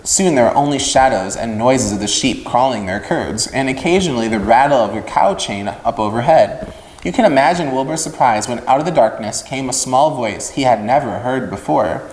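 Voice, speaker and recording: A man reading a storybook aloud to children in an expressive narrating style. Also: medium pitch, normal speed. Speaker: children's story-time reader. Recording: echoey room with long reverb.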